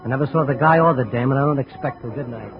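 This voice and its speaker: A man's voice: medium pitch, quick pace, over music, natural voice. An actor playing a brusque, unhelpful man in a 1950s radio drama, curt and dismissive. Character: brusque, unhelpful man turning away a questioner. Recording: dull old radio recording.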